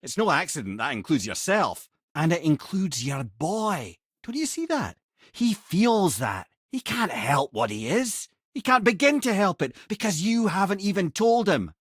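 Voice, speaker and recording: An actor with a Scottish accent playing a clever, talkative older sage in a video game, a wry and eloquent storyteller and guide. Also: male voice, high-pitched, big pitch swings, measured pace, slightly rough, dry recording, studio quality, full character voice. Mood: earnest, urging. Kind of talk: in-game character dialogue and storytelling.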